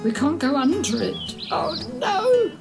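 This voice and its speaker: Slow-paced woman reading to young children. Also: high voice, vocal fry, loud music behind the voice. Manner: expressive, deliberate, sing-song with hushed whispers. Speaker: children's story reader. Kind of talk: animated chanted story reading for young children.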